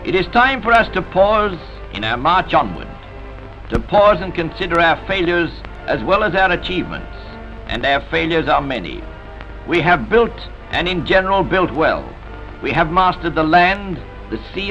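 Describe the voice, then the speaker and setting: Solemn vintage newsreel man, animated and bright. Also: sits high. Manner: solemn, dramatic, oratorical. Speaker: newsreel narrator. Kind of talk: scripted vintage newsreel narration. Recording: dull vintage newsreel soundtrack.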